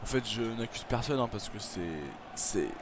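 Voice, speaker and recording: A man's voice: mid-range voice, moderate pace, very noisy. A man with a cold, chatting loosely through gameplay commentary with a stuffy, coughing voice. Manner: rambling, chatty, self-interrupting. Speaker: gaming video host.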